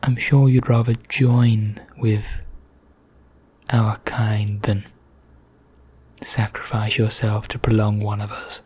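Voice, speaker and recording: A man playing a vain, condescending vampire in an ASMR roleplay, speaking formally with measured pauses in a husky, breathy voice that nears a whisper. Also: on the low side, flat delivery, darkish tone, slight background noise. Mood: teasing menace. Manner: slow, measured, formal, pompous, with deliberate pauses. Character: vain, condescending male vampire elder, calmly sinister.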